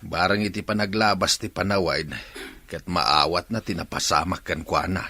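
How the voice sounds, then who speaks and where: A steady middle-aged Filipino father in a radio drama. His low voice has a rasp and a hard, bright edge as he consoles his wife. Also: normal speed, no room sound, heavily acted. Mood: consoling. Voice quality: raspy.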